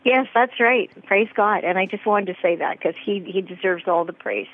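A woman's voice: mid-range voice, normal speed. A woman calling into a radio show, speaking earnestly and from the heart. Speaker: caller. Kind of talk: phone call to radio show. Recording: tinny phone line.

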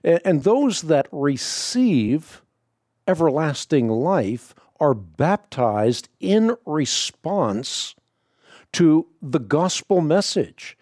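A man from the Netherlands, a native Dutch speaker with no accent, hosting a call-in radio show and answering Bible questions in a measured, explanatory way. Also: a little high, sing-song, darkish tone, no room sound, clean studio sound.

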